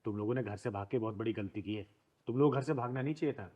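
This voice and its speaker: A man's voice: fairly low pitch, rapid-fire, dark tone, natural voice. A man playing a bold, protective kinnar elder sister in a Hindi moral drama, with a blunt, authoritative manner. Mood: calm concern. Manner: authoritative, blunt, addresses others as children.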